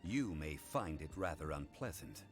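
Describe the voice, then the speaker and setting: A composed, formal guardian of contracts in a game. His voice is very deep yet expressive, and he speaks in slow, measured phrases. Faint game music runs underneath. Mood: stern. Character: calm, formal man, keeper of contracts.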